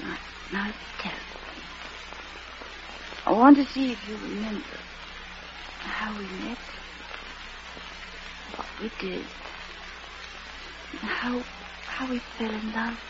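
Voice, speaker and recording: An actress playing a frail, loving wife on her deathbed in an old radio drama, speaking weakly, tenderly and haltingly. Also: on the high side, steady tone. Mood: weak pleading. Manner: weak, breathy, halting, tender. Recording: dull, noisy old radio recording.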